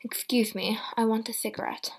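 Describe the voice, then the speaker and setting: A woman's voice: fairly high pitch, fairly even intonation, moderate pace, slightly rough, rough recording. A woman reading a polite grown daughter. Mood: restrained.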